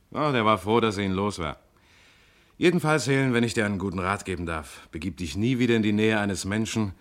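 A self-assured male detective in a fifties radio crime drama. His voice sits low, and he lays out facts in a composed, matter-of-fact way. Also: fairly bright tone. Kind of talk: 1950s German radio play acting.

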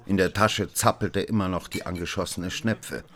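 Low-voiced, worldly gentleman narrator. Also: steady tone, moderate pace. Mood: brooding. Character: worldly, cynical gentleman narrator recalling a love affair. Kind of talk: literary radio drama narration with dialogue.

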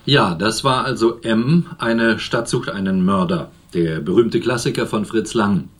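A low male voice, an actor as a television presenter in a radio play. He speaks formally, as a studio host would. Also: measured pace, lightly acted. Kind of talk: broadcast presenting within radio drama.

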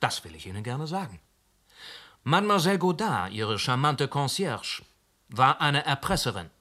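An actor playing a sharp, sarcastic police inspector who presses hard in a radio drama. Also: male voice, mid-range voice, sing-song, normal speed. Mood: pressing. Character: aggressive, blunt male police inspector. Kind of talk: German radio drama acting.

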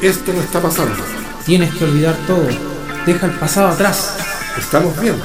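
A man's voice: fairly high pitch, a little fast, natural voice. A man voicing echoing voices inside a confused man's head, insistent and hypnotic, over music in a radio play. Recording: echoey reverb with music.

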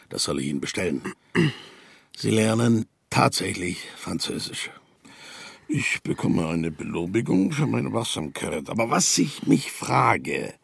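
Gravelly, sardonic army captain. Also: male voice, fairly low pitch, no room sound, heavily acted. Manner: clipped commands, officious and sardonic. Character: stern, suspicious, sardonic male army captain. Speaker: company commander. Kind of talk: radio drama acting.

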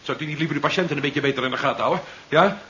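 An actor playing a brusque, impatient, sardonic sci-fi hero in a seventies radio drama. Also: male voice, a little high, racing, sharp tone. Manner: curt, commanding, sardonic. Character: brusque, impatient male sci-fi hero pursuing his wife. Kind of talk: 1970s Dutch radio drama acting. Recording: dull, hissy 1970s radio recording.

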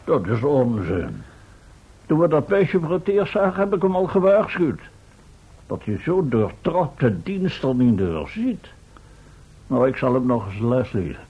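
A man's voice: mid-range voice, sing-song, unhurried, husky, highs cut off, exaggerated voice. A man acting an exasperated, schoolmasterly professor who leads an expedition in an old radio drama. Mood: stern, exasperated. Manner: indignant, schoolmasterly. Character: exasperated male professor leading a time-travel expedition.

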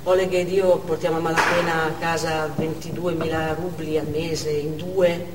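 A woman playing a devoted, excitable working mother in a chatty theatrical monologue. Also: sits low, normal speed, close to an everyday voice. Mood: chatty, fond. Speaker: stage actor. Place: indoor theatre stage, recorded from afar. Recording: distant, echoey hall with noticeable noise.

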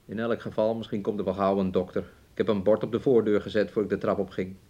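A man's voice: lowish voice, level tone, fast, warm tone, breathy edge, lo-fi sound. An actor in a Dutch radio play playing a controlling, possessive man who coaxes and needles with repeated questions. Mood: brooding, sullen. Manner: coaxing, needling, repetitive questions, refers to himself in third person. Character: possessive, controlling man keeping his sick fiancée hidden away.

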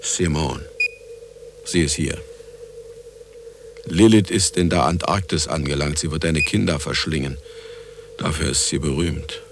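An actor playing an irascible older scientist who also narrates, theatrical and commanding, in a German radio play. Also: male voice, a bass voice, unhurried, gravelly, pauses often, background noise, exaggerated voice. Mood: grim.

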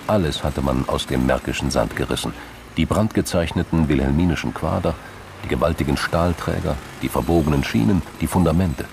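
A man's voice: a bass voice, fairly quick, vocal fry, noisy background. A man reading scripted narration for a radio drama, with vehicle sounds and ambience behind him.

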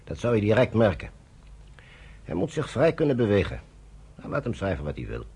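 A stern, commanding British general. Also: male voice, on the low side, wildly swooping pitch, fairly quick, warm tone, breathy edge, full character voice. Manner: commanding, curt, dismissive. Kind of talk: radio drama acting.

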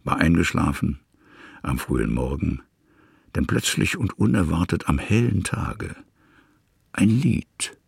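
Extremely deep, gravelly male narrator. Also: no echo, clean studio sound. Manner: expressive, short deliberate phrases. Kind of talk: literary storytelling narration.

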